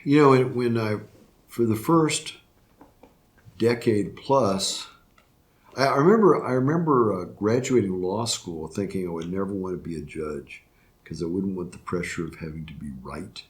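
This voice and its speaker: An older man, a retiring judge from Florida, answering interview questions reflectively, with frequent restarts and fillers. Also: on the low side. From Florida, USA. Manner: halting, many restarts and fillers. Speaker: retiring circuit court judge.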